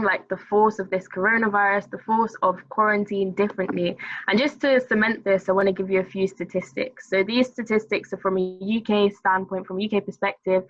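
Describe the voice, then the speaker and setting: A young woman from the UK co-hosting an online seminar, presenting statistics in a clear, steady way. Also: medium pitch, dry recording, dull audio. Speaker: seminar co-host. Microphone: video call.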